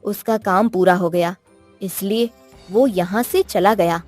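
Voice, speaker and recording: A woman's voice: medium pitch, a little slow, darkish tone, soft background music, natural voice. A woman voicing an assured magical queen in a cartoon story, commanding and reassuring. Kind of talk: cartoon moral story acting.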